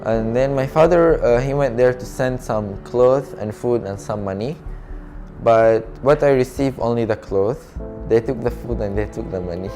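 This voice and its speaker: Young Syrian refugee, mellow earnest testimony over faint music. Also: male voice, medium pitch. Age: young adult. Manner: soft, halting and earnest, with non-native grammar. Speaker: refugee telling his story. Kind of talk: documentary interview testimony.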